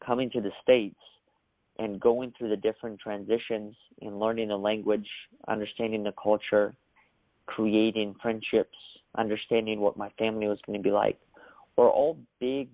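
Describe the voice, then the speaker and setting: A young man from Russia, a podcast guest telling his story in a measured, earnest way. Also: lowish voice, fairly even intonation, no room sound. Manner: measured, earnest, occasional restarts. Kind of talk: reflective storytelling. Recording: dry, phone-like narrow sound.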